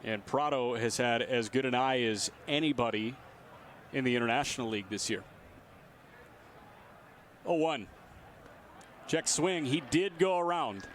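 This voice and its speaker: A man works as a radio baseball announcer, calling the game live. His voice sits at a middling pitch. Faint outdoor stadium ambience runs behind the commentary. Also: a little slow, long pauses, a little hiss or hum. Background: ballpark ambience.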